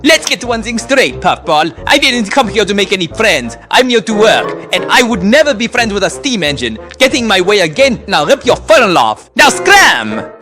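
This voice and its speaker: Man voicing a high-pitched, menacing diesel engine bully. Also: sing-song, normal speed, bright, forceful tone, soft background music, exaggerated voice. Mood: threatening. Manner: menacing, sneering, grudging when scolded. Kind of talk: comic dub character acting.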